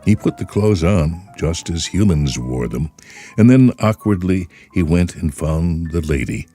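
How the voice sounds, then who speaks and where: A man reading a story aloud in a measured, expressive way. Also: sits low. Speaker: narrator. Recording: music bed under the voice.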